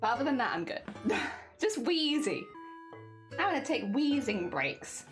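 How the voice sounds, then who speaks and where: A woman's voice: high-pitched, big pitch swings, measured pace, soft background music. A young woman with a cold and asthma, wheezing as she chats with her livestream viewers while embroidering. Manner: chatty, greets and answers chat viewers, laughs often.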